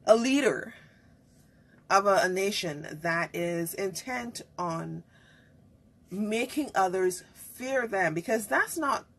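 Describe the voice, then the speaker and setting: Husky, bright woman host. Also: medium pitch, lively intonation, takes it slowly. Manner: chatty, greets viewers, laughs often. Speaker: host of a live online talk show. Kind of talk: casual livestream talk.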